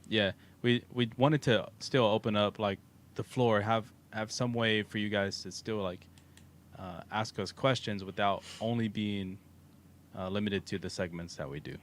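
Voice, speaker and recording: A man with a Texan accent co-hosting a livestream, talking in a relaxed, rambling way with many fillers. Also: fairly low pitch, moderate pace. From Texas. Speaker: co-host of a crypto livestream. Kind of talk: casual livestream chat. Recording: faint background noise.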